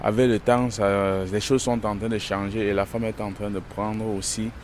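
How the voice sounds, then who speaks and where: A man's voice: lowish voice, little pitch movement, moderate pace. A man giving his opinion off the cuff as a street interviewee. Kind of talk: spontaneous opinion. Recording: faint outdoor street noise. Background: street and vehicles.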